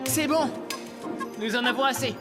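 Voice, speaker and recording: An actor playing a caring, brave teenage mentor in a French cartoon, earnest and expressive. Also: male voice, extremely high pitch, moderate pace. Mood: encouraging. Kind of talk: French animated series dubbing. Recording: loud music behind the voice.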